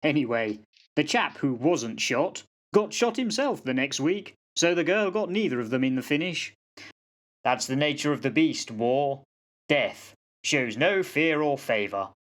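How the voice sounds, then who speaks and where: A man's voice: on the high side, lively intonation, unhurried. A man playing a fatalistic old sergeant-major in a radio play, telling yarns in a folksy, dramatic way. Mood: storytelling, fatalistic. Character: old soldier sergeant-major, fatalistic yarn-spinning raconteur. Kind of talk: amateur radio play acting.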